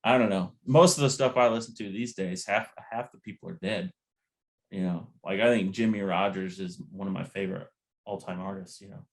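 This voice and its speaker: A man's voice: low voice, fairly even intonation, a little fast. A man hosting a podcast, chatting casually about music in a laid-back, rambling, slangy way. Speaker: podcast host.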